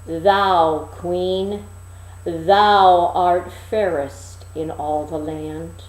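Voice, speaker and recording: A woman voicing a solemn magic looking glass that speaks in slow, archaic, declaiming phrases. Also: fairly low pitch, fairly even intonation, reverberant, poor audio. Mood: solemn, proclaiming.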